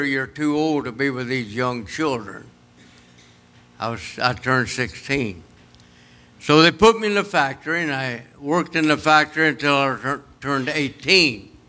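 A man's voice: mid-range voice, clear, resonant tone. Folksy Kentucky preacher in his eighties. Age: 80s. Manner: homespun, storytelling, lively and chatty. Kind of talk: folksy sermon with personal stories.

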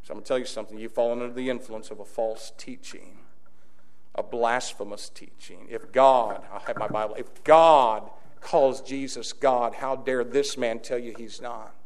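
A man pastor preaching an impassioned sermon, swinging from quiet, intimate passages to loud, shouted declarations. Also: mid-range voice, fairly even intonation, normal speed. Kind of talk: fiery sermon.

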